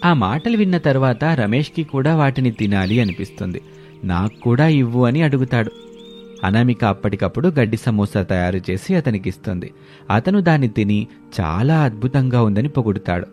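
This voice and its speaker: A man's voice: mid-range voice, expressive, moderate pace, a little mellow, faint music behind. A man narrating a scripted moral story as a storyteller.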